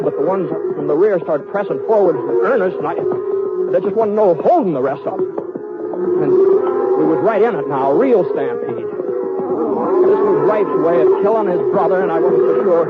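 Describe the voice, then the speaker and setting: An actor playing an easygoing American cowhand who tells his trail story in a halting, folksy way, in a 1950s radio western. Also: on the high side, narrow, tinny audio, close to an everyday voice. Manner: folksy, halting, repeats words. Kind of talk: 1950s radio western acting, first-person narration. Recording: old band-limited radio recording with music.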